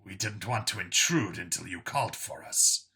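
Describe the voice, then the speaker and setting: Man voicing a calm, bright-toned alien commando. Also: deep voice, moderate pace, breathy edge, pitched below the performer's own voice, heavily acted. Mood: calm, matter-of-fact. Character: polite, matter-of-fact alien satyr commando.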